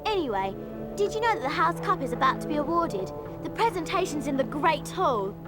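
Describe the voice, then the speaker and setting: A woman's voice: sits high, big pitch swings, fairly quick. A woman voicing a studious, earnest and chatty schoolgirl witch in a video game, prim and eager. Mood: brisk, eager. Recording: loud music under dialogue.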